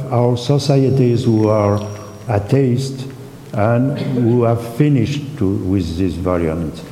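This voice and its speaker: A man on a panel giving spontaneous remarks, halting and full of fillers and restarts, in a conference hall. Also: on the low side, warm tone, slight background noise.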